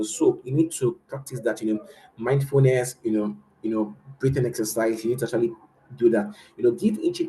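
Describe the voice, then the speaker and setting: A man's voice: mid-range voice, warm tone, slight background noise, poor audio. A man with a Nigerian accent giving casual career advice as a host, earnest and repetitive, with many fillers. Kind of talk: casual motivational talk.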